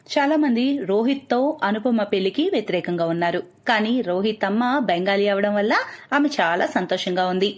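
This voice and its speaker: A woman's voice: mid-range voice, a little fast. A woman reading scripted narration for a cartoon moral story.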